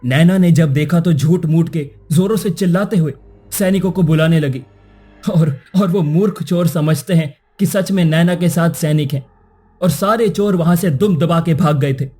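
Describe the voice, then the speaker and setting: Cartoon prince, righteous and formal, male actor, slightly high, mellow and earnest. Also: moderate pace, slightly rough, soft background music. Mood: earnest explaining. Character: righteous young prince defending a falsely accused girl. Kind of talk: Hindi cartoon moral-story dialogue.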